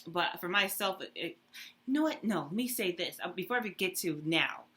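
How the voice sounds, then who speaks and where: A young adult woman in casual chat with a friend, telling stories in an animated way and acting out what others said. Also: fairly low pitch. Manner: animated storytelling, quick laughs, acts out quotes. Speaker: co-host.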